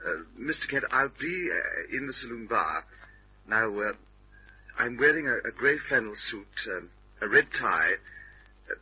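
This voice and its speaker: A husky-voiced, anxious Englishman in a vintage radio mystery. He is courteous and evasive, hesitating and stammering through his sentences with a sharp, tense edge. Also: mid-range voice, lively intonation, measured pace, long pauses, full character voice, low-quality recording. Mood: nervous, hurried. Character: nervous, evasive, polite English veteran stage actor. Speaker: actor in radio drama. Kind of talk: old-time radio detective drama acting.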